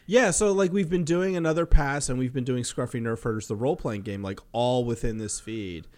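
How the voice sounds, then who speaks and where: A man co-hosting a podcast, chatting casually in an informal, slightly halting way. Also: mid-range voice, darkish tone. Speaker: podcast co-host. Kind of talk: casual conversational podcast chat.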